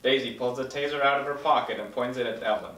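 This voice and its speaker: A man's voice: medium pitch, fast talker, fairly bright tone. Man narrating a script, echoey room. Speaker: narrator reading stage directions. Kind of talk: scripted narration at table read. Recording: roomy echo, distant mic.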